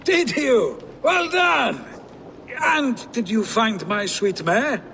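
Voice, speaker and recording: Casting: effusive village horse owner, high and slow-spoken, game drama, long reverb. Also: male voice, very animated, creaky, fairly bright tone, heavily acted. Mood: relieved, grateful. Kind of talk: video game dramatic acting. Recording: long reverberant room, faint ambient noise.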